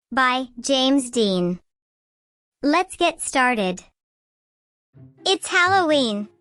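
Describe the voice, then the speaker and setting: High-pitched woman reading slowly to children. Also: a bit bright, no room sound. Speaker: storytime host. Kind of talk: children's picture-book read-aloud.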